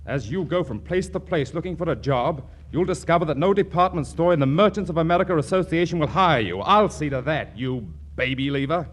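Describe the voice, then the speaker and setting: An actor playing a pompous, earnest store boss in a 1940s radio comedy, blustering and lecturing, stammering when flustered. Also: male voice, fairly high pitch, animated, fairly quick, hardly any pauses. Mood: scolding, indignant. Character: pompous, earnest department store boss, fussy about instructions. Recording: noisy old 1940s radio broadcast.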